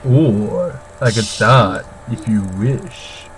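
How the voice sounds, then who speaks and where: Someone putting on a slow, suave vampire teenage boy. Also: medium pitch, expressive, background noise. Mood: suave, polite. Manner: slow, drawn-out, deliberately suave delivery. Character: soft-spoken polite vampire teenage boy, smugly charming. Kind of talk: mock dramatic reading of a novel.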